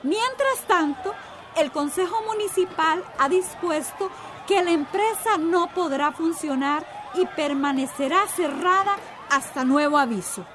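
A woman's voice: very high voice, lively intonation, a little slow, loud music behind the voice, over a noisy crowd. An actress playing a woman making an official council announcement in a radio drama.

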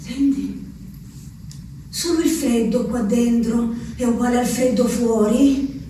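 A woman's voice: fairly high pitch, fairly even intonation, mellow. An actress playing an unhinged, wistful peasant woman shut in an institution, with an Abruzzese accent, in an incantatory theatrical radio monologue addressed to her absent beloved. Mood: cold yearning. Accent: central-southern Italian, Abruzzese. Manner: slow, incantatory, repetitive delivery. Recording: roomy echo, distant mic, faint noise.

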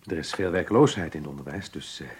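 A man's voice: a bass voice, fast. A man playing a kindly, fatherly schoolteacher in a radio play, genial and a little hesitant, on a noisy old recording. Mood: encouraging, measured. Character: kindly, older male schoolteacher, fatherly and measured. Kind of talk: Dutch radio play acting.

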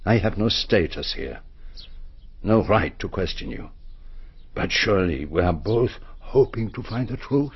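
An actor playing a courteous, shrewd detective, formal and deliberate, in a radio drama. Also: male voice, low-pitched, lively intonation, breathy edge, no room sound, muffled recording, heavily acted. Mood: courteous, probing. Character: courteous, shrewd foreign male detective, former policeman.

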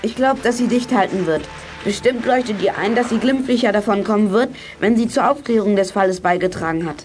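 A woman's voice: mid-range voice, fairly quick, darkish tone, faint music behind, close to an everyday voice. A woman playing a bold boy who leads young detectives in a 1980s children's audio drama, confident and commanding. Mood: confident, pressing.

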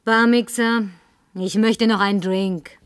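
An actress in a German radio play playing a flirtatious, tipsy, insistent career woman with coquettish, languid phrasing and breathy murmurs. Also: medium pitch, steady tone, slow pace, no echo, studio quality, natural voice. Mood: tipsy, demanding.

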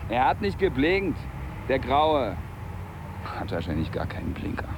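An actor playing a bitter, foul-mouthed jobless labourer in a radio drama, grumbling cynically and coarsely. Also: male voice, medium pitch, wildly swooping pitch, measured pace, noisy background. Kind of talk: naturalistic radio drama dialogue.

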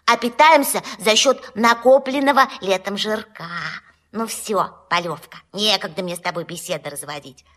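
An actress playing a brisk, proud little female bat in a children's audio play, explaining things in a matter-of-fact, slightly impatient way. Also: mid-range voice, fairly bright tone, close to an everyday voice.

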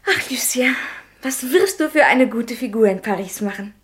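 An actress playing a devoted, self-sacrificing young sister and bride in a German radio drama, with an earnest, emotional delivery. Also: female voice, fairly high pitch, expressive, normal speed, natural voice. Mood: tender, agitated.

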